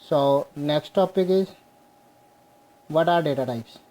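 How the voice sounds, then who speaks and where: A young man teaching a programming class on screen, slowly walking through code step by step and mixing Hindi with English. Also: a little high, dark tone. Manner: slow, explains step by step, mixes Hindi and English. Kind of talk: screen-share coding lesson.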